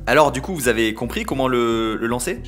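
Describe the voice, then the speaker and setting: Man as a chatty, lively start-up colleague. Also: mid-range voice, brisk, faint music behind, close to an everyday voice. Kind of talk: comic audio drama acting.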